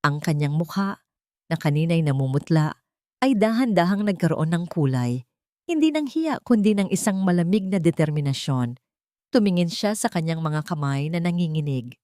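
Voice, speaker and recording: A woman with a Filipino accent narrating a scripted dramatic story in measured phrases with pauses. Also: on the low side, lively intonation, darkish tone, dry recording, studio quality.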